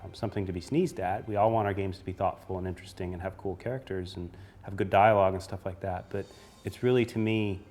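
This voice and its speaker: A man with a notably deep voice reflects in an interview. His phrasing is measured and articulate, and music runs faintly behind him. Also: fairly even intonation, darkish tone. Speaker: video game interviewee.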